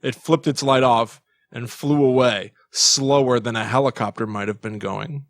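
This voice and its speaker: A man co-hosting a casual comedic podcast, telling stories in an animated way and repeating phrases for comic emphasis. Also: mid-range voice, moderate pace, dry recording, clean studio sound. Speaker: podcast co-host.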